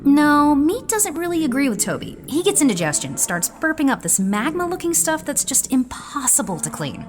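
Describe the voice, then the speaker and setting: An actress playing a brisk, no-nonsense woman animal keeper in an audio drama, blunt and scolding. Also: sits high, sing-song, soft background music. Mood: matter-of-fact.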